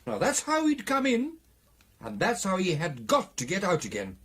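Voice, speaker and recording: Excitable husky British gentleman storyteller. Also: high voice, normal speed, heavily acted. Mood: exasperated, animated. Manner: theatrical, animated storytelling with exclamations and hesitations. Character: excitable gentleman recounting his meeting with a hapless ghost. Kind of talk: radio drama acting.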